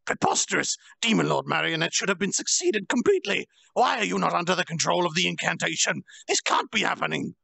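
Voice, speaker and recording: A scheming male demon lord, caught out, blurts in shrill disbelief, as a man puts him on. His voice jumps high and loud with a gravelly grain. It is a storybook reading. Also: expressive, a little fast, few pauses, dry recording, heavily acted. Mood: bewildered.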